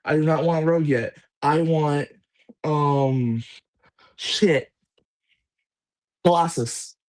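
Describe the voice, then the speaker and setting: A man in casual chat as co-host, talking in halting rambles with many restarts. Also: fairly high pitch, darkish tone.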